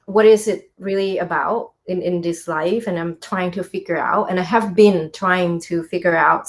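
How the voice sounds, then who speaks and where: Earnest woman, English. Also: lowish voice. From Thailand. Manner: earnest, animated, frequent fillers. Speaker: co-guest, spiritual teacher. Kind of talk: casual interview talk.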